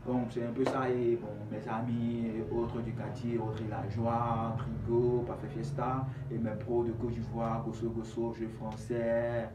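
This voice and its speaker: A man's voice: medium pitch, completely flat delivery, measured pace, few pauses, recorded from a distance. A young man with an Ivorian accent, a rapper giving casual interview answers in street slang. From Ivory Coast. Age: young adult. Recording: echoey room, off-mic, clearly noisy.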